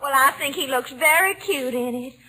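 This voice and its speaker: Flirtatious belle, high-pitched, bright sharp tone, gushing and coy, vintage radio comedy. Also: female voice, moderate pace, rough recording. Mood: sweet, coaxing. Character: coy, flirtatious grown Southern belle lady friend. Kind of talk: 1940s radio comedy acting.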